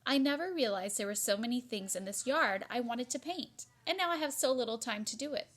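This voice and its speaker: A woman voicing a kindly, wistful older female neighbor from a storybook, speaking gently and reflectively. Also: a little high, expressive, fairly quick.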